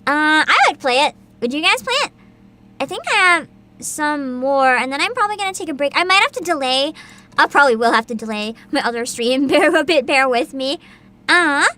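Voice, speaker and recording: High, lively, giggly streamer woman. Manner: giggly, chatty, reacts to chat, reads descriptions aloud. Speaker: VTuber streamer. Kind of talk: casual livestream chat.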